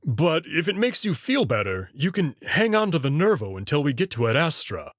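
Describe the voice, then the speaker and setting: A man voicing a guarded male wolf who captains a ship, speaking in a measured, matter-of-fact way while reading a visual novel aloud. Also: a little high, very animated, a bit bright, dry recording, clean studio sound.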